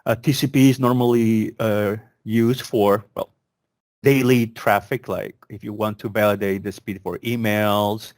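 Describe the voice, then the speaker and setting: A man's voice: fairly low pitch, flat delivery, measured pace, darkish tone, no room sound, clean studio sound. A man giving an instructional product demonstration in a conversational, step-by-step explanatory manner. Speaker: product demonstrator, program manager. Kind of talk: instructional product walkthrough, semi-scripted.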